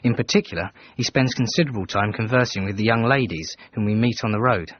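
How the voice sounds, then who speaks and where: An actor playing a reserved Edwardian manservant in a radio drama, reading out his letters in a formal, measured, dryly disapproving way. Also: fairly low pitch, husky. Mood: dry, detached. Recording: dull band-limited radio, faint noise.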